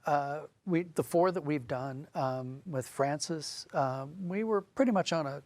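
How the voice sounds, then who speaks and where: Male interview guest, writer type, lighter-pitched, animated conversational storyteller, dry studio sound. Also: a little slow, no echo.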